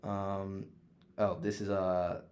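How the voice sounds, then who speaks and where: A man's voice: low voice, little pitch movement, slow, a little mellow, dull audio, slight background noise. A man co-hosting a podcast, chatting casually and offhandedly with slang and swearing. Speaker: podcast co-host. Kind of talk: casual chat, ranking anime villains and films.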